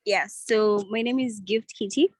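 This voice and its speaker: A woman speaks at a middle pitch over a video call while in transit. She is hesitant and apologetic, with many ums. Her voice sounds close and dry. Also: a little slow, no room sound. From Mombasa, Kenya. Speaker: fellow introducing herself. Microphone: video call on an unstable connection.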